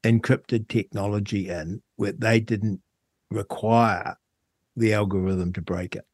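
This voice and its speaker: A middle-aged or older man with a New Zealand accent, hosting a conversational radio interview in a halting, reflective way. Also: lowish voice, steady tone, darkish tone, dry recording. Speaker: talk show host.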